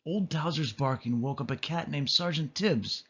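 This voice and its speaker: A man reading a children's story aloud as storyteller, with expressive narration. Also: fairly high pitch, normal speed, slightly rough, lo-fi sound.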